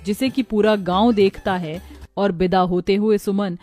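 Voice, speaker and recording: A woman's voice: medium pitch, steady tone, unhurried, dark tone, soft background music. A woman narrating a scripted moral story as a storyteller.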